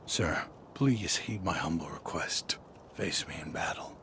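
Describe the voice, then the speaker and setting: An actor playing a weary, undying swordsman in a video game, solemn and formal with halting phrases. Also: very deep voice, gravelly, very noisy, full character voice. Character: weary, solemn undying male swordsman seeking death in battle. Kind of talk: video game cutscene acting.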